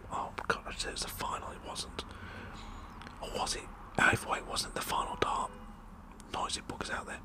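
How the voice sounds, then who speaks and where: British male ASMR performer, whispered delivery, lively swooping intonation, laddish joking monologue that wanders. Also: on the high side. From United Kingdom. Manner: rambling, jokey, blokey.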